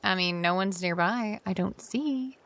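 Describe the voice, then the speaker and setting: A woman's voice: mid-range voice, a little slow, fairly bright tone. An actress playing an amazed, curious young woman visiting a sci-fi city in an audio drama. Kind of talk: full-cast audio drama acting.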